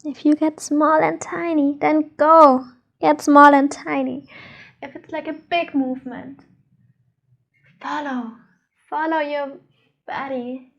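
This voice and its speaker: High-voiced slow woman guiding meditation. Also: steady tone, creaky. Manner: soothing, unhurried, gentle instructions with pauses. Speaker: meditation teacher, channel host. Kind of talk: guided meditation.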